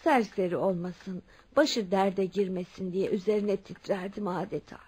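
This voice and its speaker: An actress playing a wealthy, kindly widow near seventy in a Turkish radio drama, frank and dignified. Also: female voice, medium pitch, normal speed, darkish tone, breathy edge, close to an everyday voice. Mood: sorrowful. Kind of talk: Turkish radio theatre acting.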